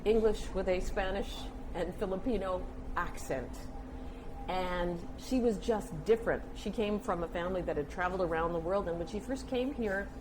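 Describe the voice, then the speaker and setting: An older woman, telling stories conversationally as an interview guest, with an animated manner. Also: on the low side, normal speed. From United States. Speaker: interview guest, communications specialist. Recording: clear background noise and room ambience.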